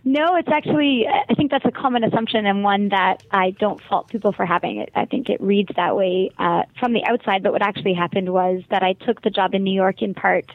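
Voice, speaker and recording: A woman's voice: mid-range voice, a little fast, hardly any pauses, no room sound. A woman from Montreal with a Canadian accent, a guest talking about her travels in a thoughtful, articulate way and laughing easily. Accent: Canadian English. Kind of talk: phone-in interview. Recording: tinny phone line.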